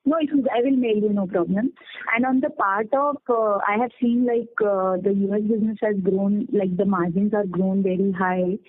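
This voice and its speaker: A woman calling in as an individual investor, asking polite, halting questions on an earnings call. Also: mid-range voice, steady tone. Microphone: telephone conference line. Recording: narrow tinny phone line.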